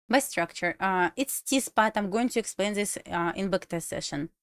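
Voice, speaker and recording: A woman with a Russian accent, a native Russian speaker, chatting casually on a trading livestream in halting English with restarts. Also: mid-range voice, no echo, studio quality. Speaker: livestream trader.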